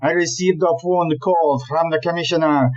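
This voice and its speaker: Man playing high-pitched, exasperated police chief. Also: steady tone, muffled recording, close to an everyday voice. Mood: indignant explaining. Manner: ranting, emphatic, deliberate. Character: exasperated, blustering male chief police inspector. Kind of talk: comic audio play acting.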